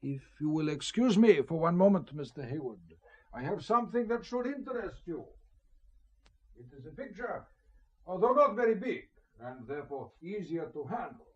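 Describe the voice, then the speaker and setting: A polished, dangerous Italian count who deals in shady art. His voice sits fairly high and moves in big swings of pitch. He speaks with urbane, guarded deliberation, as in a spy thriller radio play. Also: sing-song, moderate pace, a little mellow, breathy edge, lo-fi sound, full character voice. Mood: sly. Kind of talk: spy thriller audio drama acting.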